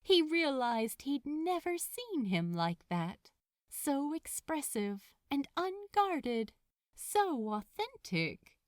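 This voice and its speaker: A woman reading fiction aloud as a narrator, in an expressive storytelling style. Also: sits high, slow pace, dry recording, clean studio sound. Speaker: podfic narrator.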